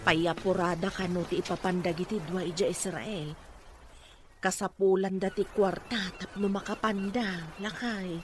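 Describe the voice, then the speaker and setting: A woman's voice: fairly low pitch, fairly even intonation, measured pace, music in the background, lightly acted. A woman acting a proud, inquisitive village mother in an Ilocano drama, with an Ilocano accent. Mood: urging. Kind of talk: amateur Ilocano radio-style drama acting.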